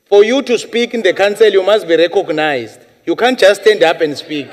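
Halting man chairing parliament. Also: high voice. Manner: halting, repetitive, stern rulings. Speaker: presiding chairperson. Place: large parliament chamber, indoors. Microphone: desk microphone at presiding chair. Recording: reverberant parliament chamber.

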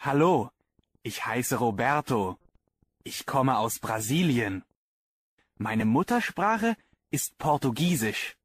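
A man voicing a young man student who introduces himself in slow, carefully spoken model sentences. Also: mid-range voice, expressive, slight background noise.

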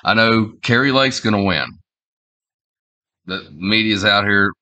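A man in his forties with a head cold that makes him sound nasal, talking in a halting, rambling way with many fillers and restarts. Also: low-pitched, bright, forceful tone. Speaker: online commentator. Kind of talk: casual opinion vlog. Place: small indoor room at home.

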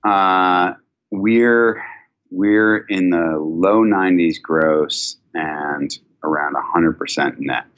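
Executive interview guest: man, forties, deep voice, conversational and considered, dull lo-fi call recording. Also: slow, fairly bright tone, clear, resonant tone. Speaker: company chief executive, guest. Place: indoor office, video call. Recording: dull video call audio.

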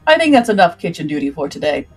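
Lady doing a big gruff dad of a ship's cook, folksy sailor talk, scolds kindly, storybook style, soft music. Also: fairly low pitch, big pitch swings, fast, voice pushed down. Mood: kindly firm. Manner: folksy nautical dialect, indulgent scolding. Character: gruff, fatherly mountainous older male ship's cook.

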